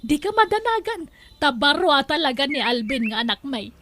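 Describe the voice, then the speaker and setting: A woman's voice: high-pitched, sing-song, normal speed, a bit bright, slight background noise. A woman with an Ilocano accent playing a chatty, scheming middle-aged mother in an audio drama. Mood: ingratiating, jolly.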